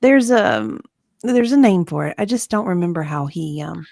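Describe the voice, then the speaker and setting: A woman playing a hesitant, forgetful witness in a dramatic reading of a legal deposition, halting and often trailing off. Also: fairly low pitch, expressive, creaky, darkish tone, no echo, studio quality. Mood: hesitant, groping.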